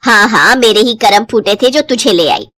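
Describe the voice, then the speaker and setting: A woman's voice: fairly high pitch, expressive, normal speed, bright, forceful tone, no echo, clean studio sound, voice pushed down. A woman voicing a middle-aged mother-in-law in a cartoon story, bickering yet easily delighted, with theatrical exclamations. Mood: admiring, scolding.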